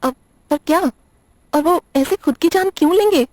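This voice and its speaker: A woman's voice: very high-pitched, normal speed, darkish tone, no echo, studio quality. A woman playing a nervous, apologetic young librarian in an audio drama, hesitant, stammering and defensive. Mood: troubled, explaining. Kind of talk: Hindi audio drama acting.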